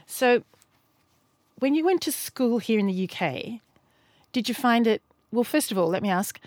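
A woman's voice: fairly high pitch, animated, moderate pace, darkish tone, dry recording. A woman hosting an interview, listening closely, encouraging her guest and asking probing follow-up questions. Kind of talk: casual interview.

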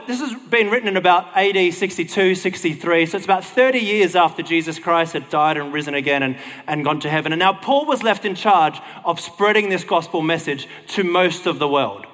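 An Australian man preaches in a fairly high voice, ringing through a hall with long reverb. He keeps it informal and chatty, like someone talking a group through a story. His delivery is wry and self-deprecating. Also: fairly bright tone. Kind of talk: casual conversational sermon to a congregation.

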